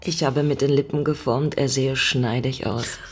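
A woman's voice: very deep voice, fairly even intonation, moderate pace, breathy edge, exaggerated voice. An actress playing a lonely middle-aged woman in an intimate radio drama, playful yet haunted by her vanished father, with a reflective, teasing way of talking. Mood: dreamy, wistful.

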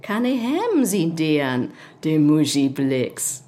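A very slow, chatty Low German woman. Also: big pitch swings, warm tone, exaggerated voice.

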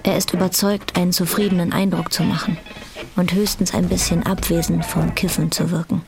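A woman's voice: on the low side, little pitch movement, a little fast, vocal fry, music in the background. A woman narrating a German radio drama from a script.

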